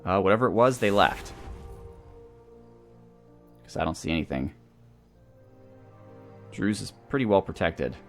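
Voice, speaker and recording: A man's voice: sits low, animated, measured pace, long pauses. A man hosting a video game playthrough, talking casually and thinking aloud as he weighs his options. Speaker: host of a gaming series. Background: background music.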